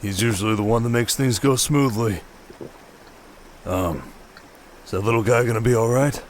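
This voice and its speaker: A man's voice: medium pitch, moderate pace, a little hiss or hum, natural voice. A man playing a chummy, scheming oni aide in a fantasy audio roleplay, griping in casual, colloquial talk. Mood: friendly, curious.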